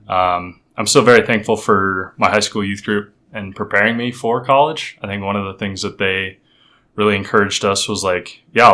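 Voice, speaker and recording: A young man from Colorado, a podcast guest telling his story in a halting, casual way with many fillers. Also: on the low side, pushed and bright. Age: 20s. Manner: halting, many fillers and restarts, laughs easily.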